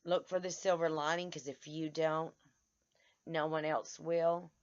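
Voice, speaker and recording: Hoarse, halting woman vlogger. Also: low voice, flat delivery, dull audio. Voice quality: halting, many restarts and stammers. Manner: tired, speaks in short broken phrases. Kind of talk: casual vlog to followers. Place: outdoors in the sun.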